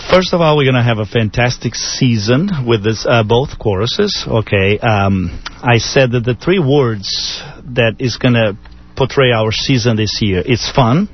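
A man from Brazil with a Brazilian accent, German his first language, talking as a guest on a radio show, relaxed and joking with many fillers. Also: mid-range voice, measured pace. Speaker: artistic director, guest. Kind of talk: radio interview. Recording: dull radio studio sound with faint noise.

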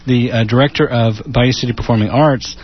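A man's voice: mid-range voice, steady tone, brisk. A man hosting a radio interview, casual and a little hesitant, with teasing remarks. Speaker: radio host. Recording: dull radio studio sound.

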